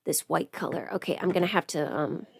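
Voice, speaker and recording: A woman's voice: on the low side, fairly quick, vocal fry, breathy edge, no room sound. A young adult woman chatting casually to livestream viewers in a rambling, informal way, often interrupting herself. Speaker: livestreamer and audio performer. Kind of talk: casual livestream chat while putting on makeup.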